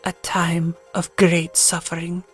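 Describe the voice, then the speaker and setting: A woman playing a grave seer in a fantasy audio drama, with a breathy voice and an ominous, measured delivery. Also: on the low side, monotone, soft background music. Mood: ominous, somber. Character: grave female seer foretelling war and suffering.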